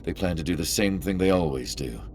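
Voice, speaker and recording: An actor playing a sly, cornered arms dealer in a video game, smug and menacing, with a drawling, theatrical delivery. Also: male voice, deep voice, expressive, brisk, darkish tone, a little hiss or hum. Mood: ominous, smug. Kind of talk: video game cutscene acting. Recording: music behind the voice.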